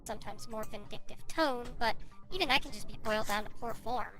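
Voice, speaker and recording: A woman's voice: a little high, brisk, a bit bright, faint music behind. A woman giving casual video essay narration as an online commentary creator.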